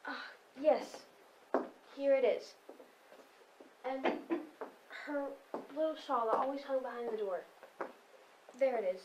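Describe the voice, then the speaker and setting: Timid, higher-voiced wife played by a woman. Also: slow pace, long pauses. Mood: hesitant, mild. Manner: hesitant, deferential. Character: timid, dutiful lawman's wife. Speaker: stage actor. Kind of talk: amateur stage play dialogue. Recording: faint background room noise.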